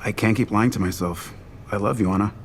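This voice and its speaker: A man playing a gentle, caring man in love in a romantic audio drama, speaking earnestly. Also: on the low side, brisk, a little hiss or hum, natural voice. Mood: tender, earnest.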